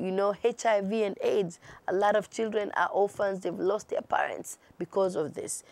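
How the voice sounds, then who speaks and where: Kenyan-accented woman guest, expressive talker, dry close-miked voice. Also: lowish voice, no room sound. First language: Swahili. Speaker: guest artist. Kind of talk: interview answers.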